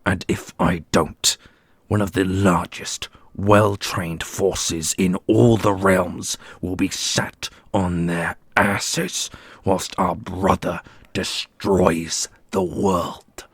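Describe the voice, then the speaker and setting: A man playing a brooding, commanding immortal prince in an ASMR fantasy roleplay, speaking gravely and deliberately with a gravelly, husky voice. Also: low voice, level tone, no echo, heavily acted. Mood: frustrated, urgent.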